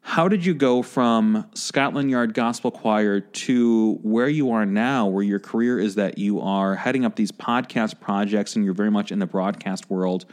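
A man's voice: lowish voice, normal speed, hardly any pauses. A man in his forties hosting a podcast interview, talking casually with lots of "like" and some swearing. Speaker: podcast host.

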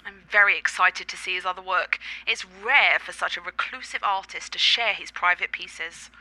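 A woman's voice: fairly low pitch, normal speed, pushed and bright, rough recording. A woman playing an affectionate, cultured girlfriend in a radio play, articulate and slightly theatrical. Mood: bright, affectionate.

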